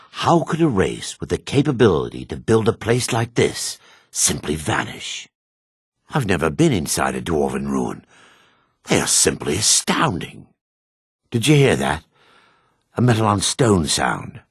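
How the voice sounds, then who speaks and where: An actor playing a gentle, pious and remorseful dark elf priest as a video game companion, speaking in a measured, earnest, formal way. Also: male voice, deep voice, slightly rough, no room sound, clean studio sound, full character voice. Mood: awed, then wary, uneasy.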